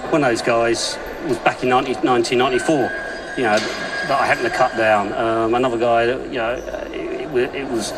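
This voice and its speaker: A man's voice: medium pitch, fairly even intonation, a little fast. Hesitant middle-aged man, off-mic. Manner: hesitant, repeats phrases. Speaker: prison officer. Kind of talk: reflective documentary interview. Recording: distant mic, clear background noise.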